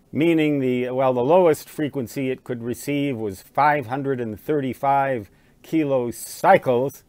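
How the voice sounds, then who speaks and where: A man's voice: medium pitch, no room sound. A man in his sixties or older, reminiscing casually to the camera in a rambling, conversational explaining manner. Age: 60s or older. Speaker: amateur radio hobbyist and vlogger. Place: small living room at home with fireplace.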